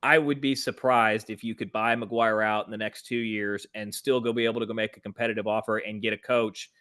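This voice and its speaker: A man's voice: on the low side, little pitch movement, fairly quick, sharp tone. A man co-hosting a sports podcast, talking casually in a blunt, emphatic, exasperated way. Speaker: podcast co-host.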